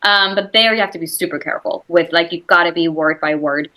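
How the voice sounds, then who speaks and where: Bright-voiced woman with a Swiss German accent. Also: lowish voice, a little fast. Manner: chatty, lots of "like" and "you know", laughs easily. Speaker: actress guest. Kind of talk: casual interview talk.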